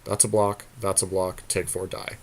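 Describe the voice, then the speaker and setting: A man's voice: deep voice, flat delivery, moderate pace. A man co-hosting a gaming podcast, casually thinking out plays aloud with informal, salty banter. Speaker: podcast co-host playing an online card game. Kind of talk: casual game commentary, talking through plays.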